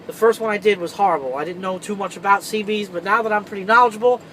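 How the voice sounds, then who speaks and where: Male tutorial host, high voice, informal trucker talking viewers through a how-to, recorded in a semi cab over faint vehicle noise. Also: fast talker, fairly bright tone, rough recording. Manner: informal, conversational, addressing viewers directly. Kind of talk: casual hands-on tutorial.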